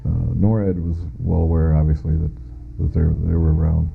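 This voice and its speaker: A man's voice: very deep voice, little pitch movement, normal speed, vocal fry, soft background music. A middle-aged American man giving first-hand testimony in an interview, plain-spoken and matter-of-fact. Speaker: former air traffic controller giving witness testimony. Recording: muffled lo-fi audio.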